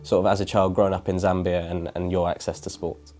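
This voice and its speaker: A man's voice: sits low, level tone, fast talker, warm tone. A man working as an interviewer, asking questions and explaining things in a prepared, informative way. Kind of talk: interview questions and scripted introduction.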